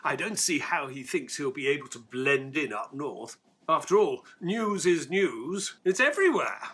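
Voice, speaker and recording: A man playing an earnest, excitable Victorian gentleman, a detective's companion and narrator, in an audio drama. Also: fairly high pitch, normal speed, slightly rough, heavily acted. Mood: exasperated.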